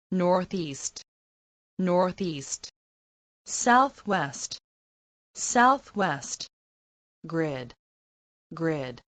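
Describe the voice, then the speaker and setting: A woman reads scripted drill phrases for language learners. She goes extremely slowly and enunciates with care, in a slightly low voice. The sound is close and very dry. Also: no room sound. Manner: deliberate, careful enunciation. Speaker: English pronunciation model.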